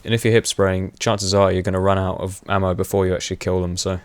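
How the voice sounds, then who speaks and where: A young man with a fairly low voice talks over his gameplay in a loose, rambling way. He pauses on fillers and laughs often, and his voice is wearing hoarse. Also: flat delivery, no room sound. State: voice going hoarse. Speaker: gaming commentator. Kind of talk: casual gameplay commentary.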